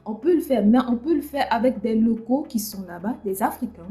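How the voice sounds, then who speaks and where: A woman's voice: a little high, expressive, fairly quick, dark tone, soft background music. A woman hosting a casual livestream chat, speaking hesitantly with many fillers and restarts. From France. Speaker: livestream host.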